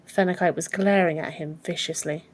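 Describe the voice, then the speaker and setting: A woman's voice: lowish voice, steady tone, a little fast. A woman reading scripted fiction as an audiobook narrator.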